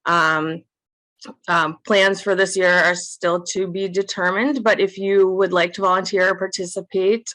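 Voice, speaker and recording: A woman's voice: on the low side, fairly even intonation, measured pace, fairly bright tone, dry recording. A woman speaking for a committee over a remote video call, giving a prepared announcement. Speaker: subcommittee representative.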